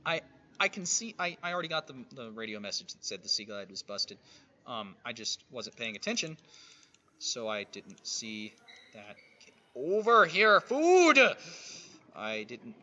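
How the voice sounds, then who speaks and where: Loud, animated game streamer. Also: medium pitch, measured pace, muffled recording, slight background noise. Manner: animated, sudden excited outbursts. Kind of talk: casual gameplay commentary. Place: indoors at home.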